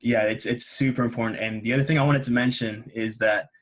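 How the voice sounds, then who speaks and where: A man's voice: mid-range voice, steady tone, quick pace. A man hosting a casual podcast interview, talking with enthusiasm and giving advice. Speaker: podcast host.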